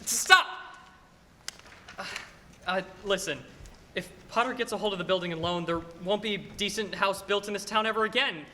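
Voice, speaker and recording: Young guy doing earnest flustered small town banker in a radio play, stammery and pleading, high voice, big echoey hall. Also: normal speed, long pauses, bright, forceful tone. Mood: pleading, urgent. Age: teens or young adult. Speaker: high school student actor. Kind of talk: stage radio play acting. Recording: reverberant hall, noisy stage recording.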